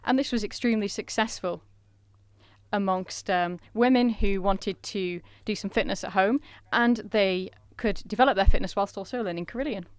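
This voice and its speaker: A woman interpreting a conference presentation in a measured way, with frequent filler pauses. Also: medium pitch, no room sound.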